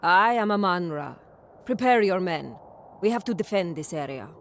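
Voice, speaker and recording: A proud warrior leader in a game cutscene. The delivery is brisk and commanding, with a guarded authority, and there is faint noise behind the voice. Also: female voice, on the low side, expressive. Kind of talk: video game cutscene acting.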